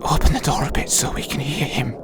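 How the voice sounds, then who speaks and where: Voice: mid-range voice, lively intonation, fast talker, over loud music, lightly acted. A performer playing a wary, outspoken adventurer in an amateur audio play, speaking emphatically. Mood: hushed. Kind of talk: amateur audio drama acting.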